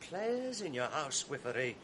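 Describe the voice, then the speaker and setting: An actor playing a scheming, sardonic male ensign in a 1980s radio drama, mocking and sly, sinking to a hushed mutter when plotting. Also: medium pitch, big pitch swings, normal speed, a little hiss or hum.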